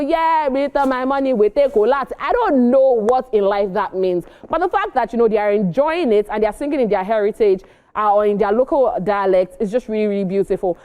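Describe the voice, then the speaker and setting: A woman with a Nigerian accent presenting entertainment news and casual commentary, expressive and emphatic in her delivery. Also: a little high.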